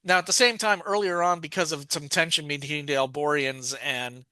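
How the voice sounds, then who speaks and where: Bright-voiced man recapping a plot. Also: a little high, fairly even intonation, normal speed, clear, resonant tone, no echo. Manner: rambling, many restarts and fillers. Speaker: podcast co-host.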